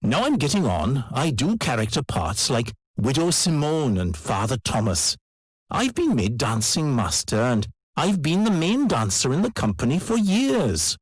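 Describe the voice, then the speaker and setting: An actor playing an older, proud Welsh ballet dancer reflecting on his career in a measured monologue for a listening exam. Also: mid-range voice, huge pitch swings, slightly rough, no echo, exaggerated voice. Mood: proud, reminiscing. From Wales.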